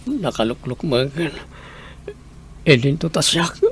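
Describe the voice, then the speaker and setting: A man's voice: fairly high pitch, unhurried, slight background noise. An Ilocano man playing a remorseful, resigned grown son in an audio drama.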